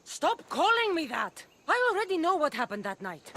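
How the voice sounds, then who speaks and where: A woman's voice: extremely high pitch, big pitch swings, moderate pace, bright, forceful tone, a little hiss or hum, exaggerated voice. A woman playing a haughty, threatening demigod warrior of a cult in a dramatic video game. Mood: furious.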